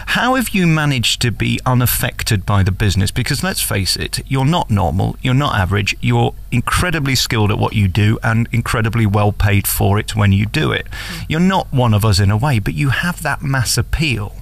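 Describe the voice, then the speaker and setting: A man interviewing a guest in a conversational, chatty style, flattering and leading with his questions. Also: medium pitch, moderate pace, gravelly.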